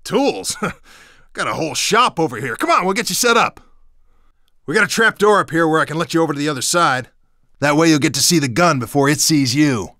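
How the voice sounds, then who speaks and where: An actor playing an earnest, easily exasperated resistance fighter in a video game, explaining things in a brisk, folksy way. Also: male voice, fairly high pitch, animated, pushed and bright, dry recording, clean studio sound. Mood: excited, friendly.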